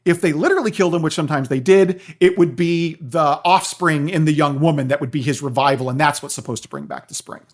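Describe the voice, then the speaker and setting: A man talking as a guest expert on a podcast, explaining things in an animated storytelling way and often checking in with the listener. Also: a little high, fast talker, few pauses. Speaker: guest, philosophy professor and author.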